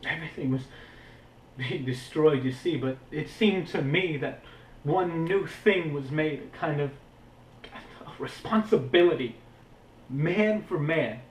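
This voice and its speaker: A man playing an earnest, guilt-burdened young war veteran in a halting, intense, confessional stage monologue. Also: on the high side, distant mic, slight background noise, lightly acted. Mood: grave, reflective. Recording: roomy, echoing indoor recording.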